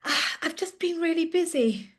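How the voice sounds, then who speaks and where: A woman's voice: very high-pitched, lively intonation, normal speed. A British woman in her late fifties, a leadership coach giving a casual, chatty update straight to her viewers, with frequent fillers and restarts. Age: 50s. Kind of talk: casual direct-to-camera update.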